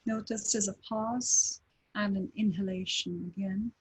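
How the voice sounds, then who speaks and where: A woman leading a guided breathing meditation, giving slow, calm, gentle instructions with long pauses. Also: medium pitch, slightly rough, low-quality recording. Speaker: meditation teacher.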